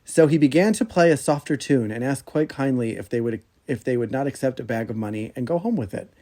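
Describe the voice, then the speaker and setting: A man hosting a podcast, reading an old fairy tale aloud in an expressive storybook manner. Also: mid-range voice, fairly quick.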